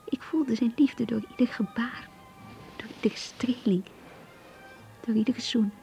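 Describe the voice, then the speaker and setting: An actress playing a shy, anxious young woman in love, reading her diary in a radio drama in an intimate, hesitant, breathy voice. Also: a little high, fairly even intonation, a little slow, mellow, soft background music. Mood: tender, yearning. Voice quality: husky, breathy, half-whispered.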